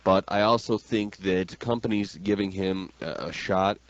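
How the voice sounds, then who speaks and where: A man's voice: sits low, fairly even intonation, a little slow, no echo. A man hosting a radio show, giving opinions in an informal sports-chat way, full of "man" and "dude". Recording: dull, lo-fi radio recording.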